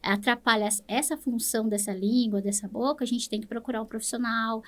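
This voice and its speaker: A woman's voice: on the high side, fairly even intonation, brisk. A woman with a Brazilian Portuguese accent, a speech therapist explaining things patiently in an interview, often checking in with a tag question. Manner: explains patiently, ends phrases with "né?".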